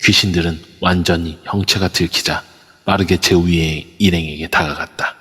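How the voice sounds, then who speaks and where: A man narrating an amateur audio drama in a steady storytelling manner. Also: low voice, normal speed, slightly rough. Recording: long reverb over a voice app.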